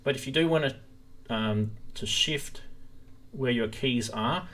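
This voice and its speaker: A man with a mid-pitched voice hosts a casual live stream demo. He explains things in a loose, rambling way, speaking into a stream microphone. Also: measured pace, a bit bright. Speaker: host of a synthesizer live stream.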